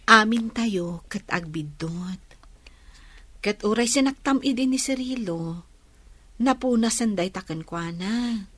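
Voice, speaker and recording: A woman acting as a kind, reassuring caretaker figure in a radio drama, gentle and unhurried. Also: mid-range voice, expressive, no room sound. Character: kind, soothing woman fussing over loved ones.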